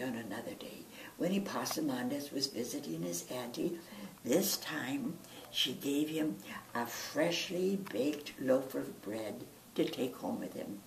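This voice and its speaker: A woman reading a storybook aloud as a children's storyteller, in an animated style. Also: low voice, creaky. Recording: roomy, distant mic, faint noise.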